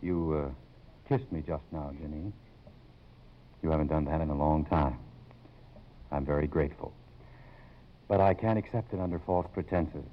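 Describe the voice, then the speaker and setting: An actor in 1950s radio drama playing a grave, middle-aged college president and father, halting and formal. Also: rumbling low, slight background noise. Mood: grave, hurt. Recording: muffled old 1950s radio broadcast.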